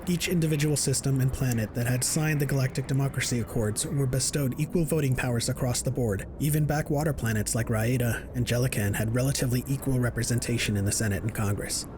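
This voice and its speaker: A man's voice: mid-range voice, quick pace, few pauses, background noise. A man reading scripted narration for a science fiction audio drama. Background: music.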